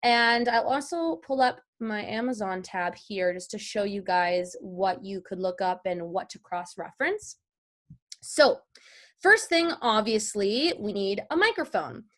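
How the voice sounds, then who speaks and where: A woman's voice: mid-range voice, lively intonation, a little slow, fairly bright tone. A woman teaching an informal online class as a guest voice-over artist, chatty and explanatory, speaking directly to the group.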